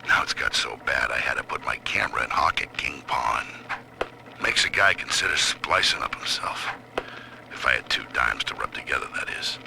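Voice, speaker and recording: Hardboiled, weary gumshoe for game audio diary; rock-bottom pitch, lively swings, gravelly. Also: male voice, normal speed, exaggerated voice. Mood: weary, wry. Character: world-weary, down-on-his-luck hardboiled private eye. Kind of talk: noir game audio-log monologue. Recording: noisy old audio-log recording.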